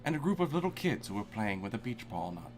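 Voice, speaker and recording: A man's voice: lowish voice, big pitch swings, quick pace, faint music behind. A man narrating a fan-fiction story aloud for laughs, often breaking into giggles while reading.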